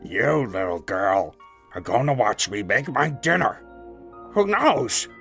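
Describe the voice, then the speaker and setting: A man playing a gleefully menacing, short-tempered cannibal boogeyman in a horror audio drama, with a gravelly voice and a slow, taunting, theatrical manner. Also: medium pitch, very animated, fairly bright tone, soft background music, full character voice. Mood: gleeful menace. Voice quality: gravelly, creaky.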